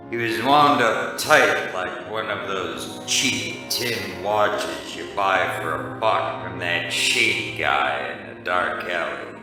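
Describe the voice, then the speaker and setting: An actor playing a hard-drinking, wisecracking, irritable private eye in a noir radio play, deadpan and world-weary. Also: male voice, exaggerated voice. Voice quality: deep. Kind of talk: noir detective radio drama narration. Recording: very echoey, distant, music behind.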